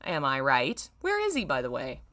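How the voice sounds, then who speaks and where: A woman's voice: a bass voice, wildly swooping pitch, moderate pace, bright, forceful tone, voice pushed down, full character voice. A woman voicing a sly, smirking woman with a breezy, knowing manner in a story read-aloud. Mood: breezy, teasing. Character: sly, smirking, teasing dark-haired woman.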